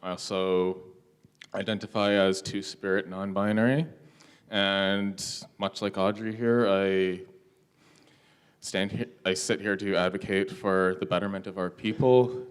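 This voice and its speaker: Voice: sits low, clear, resonant tone. A young adult from Hamilton, Ontario, a panelist giving a friendly, joking introduction. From Hamilton, Ontario, Canada.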